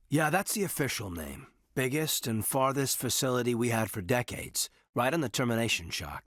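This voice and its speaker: An actor playing an earnest, guarded military officer in a video game, with a husky voice and a respectful, formal manner. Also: male voice, fairly low pitch, no echo, studio quality. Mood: reflective, matter-of-fact. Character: earnest, guarded young soldier with biotic powers, a loyal officer.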